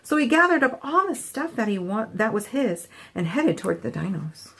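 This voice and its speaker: A woman's voice: fairly high pitch, very animated, normal speed, a bit bright, slightly rough. A woman hosting a children's storytime, reading a picture book aloud expressively and chatting with a child. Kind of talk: animated read-aloud for children.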